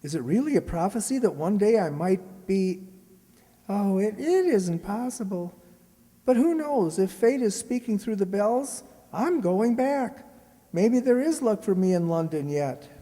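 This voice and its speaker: A woman's voice: mid-range voice, sing-song, measured pace, warm tone. A woman playing a mistreated young servant boy, earnest and polite, in a children's radio play. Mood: wondering, hopeful. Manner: earnest, pleading. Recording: hall-like reverberant stage sound.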